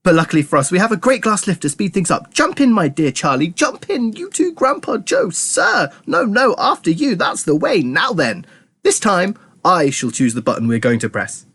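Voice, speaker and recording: A man's voice: mid-range voice, fairly quick, hardly any pauses, bright, forceful tone, breathy edge, no room sound. A man voicing an exuberant, eccentric chocolate factory owner in a gushing, theatrical storybook manner, bubbling with chuckles. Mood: eager, excited.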